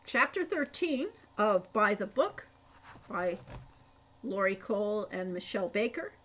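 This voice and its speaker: A woman's voice: medium pitch, big pitch swings, measured pace, fairly bright tone. A woman reading a scripted story aloud as narrator, with a dramatic storytelling delivery. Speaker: author reading own audiobook chapter.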